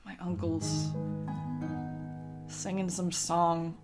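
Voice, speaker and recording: Gm lady doing a hesitant woman in a tabletop rpg, murmuring, lowish voice, halting as she remembers. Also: little pitch movement, loud music behind the voice. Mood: halting, musing. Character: woman trying to recall an old family nursery rhyme.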